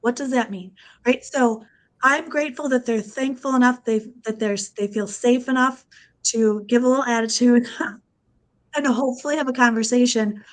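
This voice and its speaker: A woman in her forties with a cold, sounding husky, speaking candidly on a video call with some laughter. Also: a little high, normal speed. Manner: candid, self-deprecating, laughing. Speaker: party guest.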